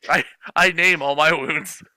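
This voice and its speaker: Bright-voiced man reading with mock drama. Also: a little high, fairly even intonation, unhurried, no echo. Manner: reads stiff prose with mock drama, breaks into laughter. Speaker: host reading fan fiction aloud. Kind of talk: comedic dramatic reading with commentary.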